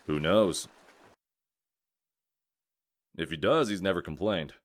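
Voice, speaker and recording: A man playing a gruff, dry detective cop in a comic dub, speaking in a measured, wry way. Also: low voice, dry recording, natural voice. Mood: low-key, wry. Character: gruff male wolf detective cop, dry, theatrical about revealing culprits.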